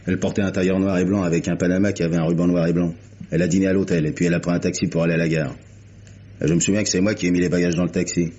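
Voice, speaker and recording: Actor as a fast-talking, deep-voiced hotel clerk. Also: male voice, level tone, mellow, natural voice. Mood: helpful, casual. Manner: folksy, hesitant, eager gossip. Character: chatty, slangy male hotel night clerk. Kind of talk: old radio mystery acting. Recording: muffled, hissy vintage radio recording.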